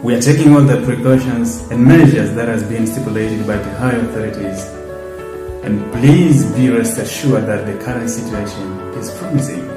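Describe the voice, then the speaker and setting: A man's voice: medium pitch, normal speed. A man postgraduate student from Tanzania speaking in a formal, reassuring way. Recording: echoey room with guitar music.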